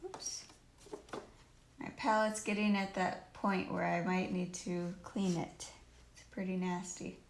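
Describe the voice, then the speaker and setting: A woman with a croaky voice casually teaching painting on a livestream, thinking aloud in short phrases as she works. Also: on the low side, fairly even intonation, slow, pauses often. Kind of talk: casual live painting tutorial. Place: indoors at home, live stream while painting. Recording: roomy live stream with faint noise and clicks.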